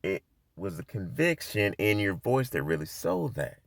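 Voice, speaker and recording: A man playing a loud, mocking man who confronts and interrogates another person, swearing as he goes. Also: fairly low pitch, very animated, normal speed, creaky. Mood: probing. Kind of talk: dramatic scene reading.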